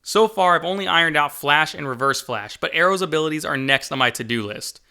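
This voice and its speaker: Upbeat guy narrating a game dev update, bright forceful voice, slightly high, jokey. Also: animated, fairly quick, clear, resonant tone. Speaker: game developer and channel host. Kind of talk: upbeat scripted video narration.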